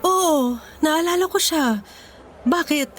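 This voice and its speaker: A woman's voice: high voice, takes it slowly, soft background music. A woman playing a grateful, forgiving older sister in an earnest Filipino radio drama. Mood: concerned.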